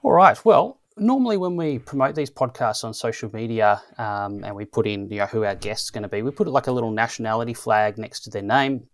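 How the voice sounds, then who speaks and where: A man hosting a podcast, introducing a guest in a relaxed way with many pauses and restarts. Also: mid-range voice, dry recording, clean studio sound. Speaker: podcast host. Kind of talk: casual interview chat.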